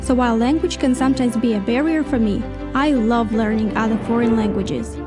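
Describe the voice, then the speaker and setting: A young woman introduces herself in a short video. Her voice is a little high, with a friendly, scripted, upbeat delivery. Music plays clearly under her words throughout. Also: a little fast. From Moldova. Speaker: student. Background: background music.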